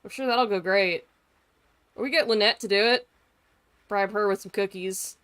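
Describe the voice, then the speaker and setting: A woman's voice: mid-range voice, normal speed, a bit bright, clear, resonant tone, no room sound. A woman giving casual, sweary, blunt and joking live commentary as a game streamer.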